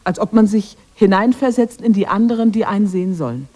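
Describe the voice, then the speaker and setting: A woman's voice: mid-range voice, a little fast, mellow, lightly acted. An actress playing a lonely, misunderstood witch of the woods in a radio drama, defending herself in a plaintive way. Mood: wistful, self-justifying. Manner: plaintive, self-justifying.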